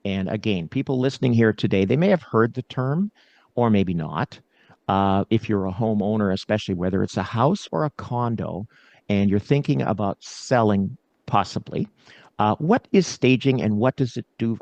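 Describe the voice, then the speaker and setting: Casting: middle-aged man, podcast host, gravelly and low, dry close sound, a bit lo-fi. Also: expressive, normal speed, a little mellow, no room sound. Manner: chatty, interrupts, self-deprecating. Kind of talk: casual interview chat. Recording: dull, slightly lo-fi sound.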